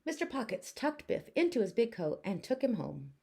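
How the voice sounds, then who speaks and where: A woman with a fairly high voice reads a children's picture book as a teacher would. Her intonation is very lively, and her phrasing is deliberate and expressive. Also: gravelly, slight background noise. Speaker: teacher reading aloud. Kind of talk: children's storybook narration.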